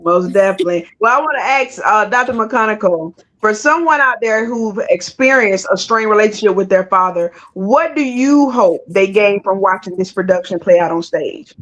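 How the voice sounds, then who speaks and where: A woman's voice: medium pitch, lively intonation, moderate pace, hardly any pauses, fairly bright tone, low-quality recording. A woman hosting a panel interview conversationally, affirming her guests and asking roundabout questions. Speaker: interview host.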